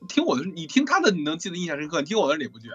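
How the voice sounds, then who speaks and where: Casting: female host, very deep husky voice, teasing, casual interview chat. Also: sing-song, rapid-fire, fairly bright tone, lo-fi sound, faint music behind. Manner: teasing, repeats words while searching.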